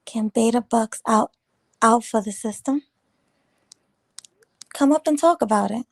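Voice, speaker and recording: A woman chats loosely on a morning livestream, greeting viewers and riffing on their comments. Her voice is a touch raspy from the early hour, and it sits close and dry with no room around it. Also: a little high, unhurried, no room sound. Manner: chatty, rambling, teasing viewers. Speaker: livestream host. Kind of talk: casual live chat reading comments.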